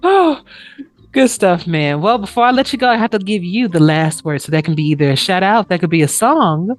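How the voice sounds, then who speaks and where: A woman's voice: on the low side, animated, a little fast, faint music behind. Lady hosting a podcast, chatty interview, voice moves up and down a lot. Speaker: podcast host. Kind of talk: casual interview chat.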